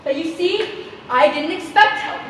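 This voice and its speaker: A woman's voice: very high-pitched, unhurried, fairly bright tone. A woman on stage playing a troubled, pleading young woman at a meeting, hesitant and plaintive in a theatrical way. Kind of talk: stage play acting. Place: theater stage, distant microphone. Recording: echoey noisy theater, far off, audience sounds.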